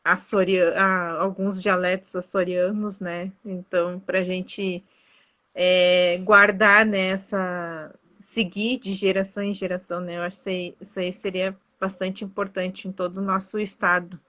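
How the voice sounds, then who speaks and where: A woman's voice: fairly low pitch, level tone, unhurried, pushed and bright. A woman from southern Brazil, hosting a casual live-stream chat as a tour guide, full of fillers. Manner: chatty, many fillers like "né" and "aí". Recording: dull lo-fi live-stream audio.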